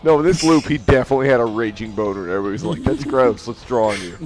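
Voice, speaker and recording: A man's voice: mid-range voice, fast talker. A man co-hosting a podcast in casual chat, blunt and joking in how he talks. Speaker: podcast co-host. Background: music behind the voice.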